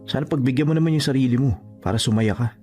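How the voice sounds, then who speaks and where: A man's voice: medium pitch, very fast, warm tone, lightly acted. A man playing an earnest, persistent young suitor in a radio drama, speaking in a sincere, pleading way. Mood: pleading, tender. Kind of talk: Tagalog radio drama acting. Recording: music behind the voice. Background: music.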